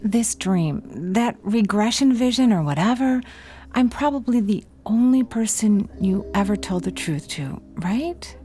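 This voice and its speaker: An actress playing a caring best friend, familiar and gently blunt, in a naturalistic audio drama. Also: female voice, a little high, lively intonation, unhurried, faint music behind. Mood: gentle, frank. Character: frank, caring woman friend and confidant.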